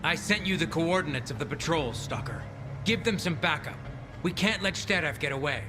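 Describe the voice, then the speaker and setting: A man playing a gruff, blunt, foul-mouthed military commander in a video game cutscene. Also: fairly high pitch, animated, normal speed, music in the background, lightly acted. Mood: firm, businesslike.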